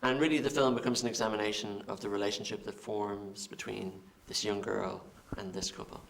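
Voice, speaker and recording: An Irish film director answering interview questions, halting and repeating words as he thinks. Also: fairly low pitch, fairly even intonation, creaky. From Ireland.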